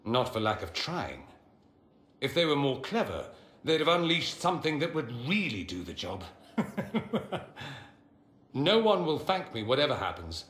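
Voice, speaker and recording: An actor playing a witty, proud mage in a video game, speaking in a theatrical, sardonic and articulate manner. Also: male voice, medium pitch, sing-song, a little slow, long pauses, a bit bright. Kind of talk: dramatic video game dialogue. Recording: roomy echo, faint ambient noise.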